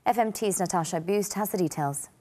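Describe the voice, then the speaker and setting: A woman's voice: medium pitch, brisk, warm tone. A woman reading scripted news as an anchor.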